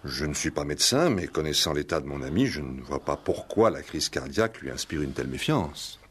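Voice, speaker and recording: An actor in a 1960s French radio play voicing an educated, suave gentleman, formal and guarded, with articulate deliberate speech. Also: very deep voice, lively intonation, slightly rough, full character voice. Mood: smooth, assured.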